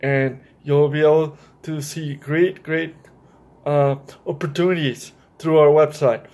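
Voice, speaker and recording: A man's voice: fairly high pitch, steady tone, a little slow, darkish tone. A man hosting a children's story time, reading a picture book aloud and explaining it directly to young listeners.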